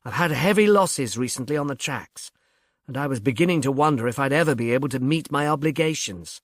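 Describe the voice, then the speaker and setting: A man's voice: mid-range voice, lively intonation, brisk, no echo, studio quality, close to an everyday voice. A man voicing an elderly, self-possessed society woman in an audiobook, tartly amused and condescending. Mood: patronising, cool.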